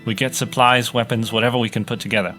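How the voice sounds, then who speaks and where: A man's voice: mid-range voice, fairly even intonation, quick pace, bright, forceful tone, natural voice. A young man acting as a bitter, overworked student technician in a sci-fi audio drama, griping sarcastically through a monologue. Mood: sardonic, resolute. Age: young adult. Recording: music behind the voice.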